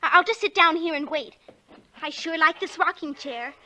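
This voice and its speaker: A woman's voice: extremely high pitch, normal speed, sharp tone. A woman playing a plucky, inquisitive little girl with an artless, earnest manner in a 1940s radio suspense drama. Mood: innocent, probing.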